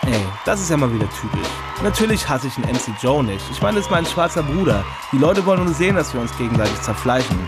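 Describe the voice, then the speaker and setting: An actor playing a brash battle rapper in a radio play, talking in emphatic slang. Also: male voice, mid-range voice, very animated, fast, hardly any pauses. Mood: indignant, emphatic. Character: brash male battle rapper defending his stage rivalry. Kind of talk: German radio play monologue. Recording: loud music behind the voice.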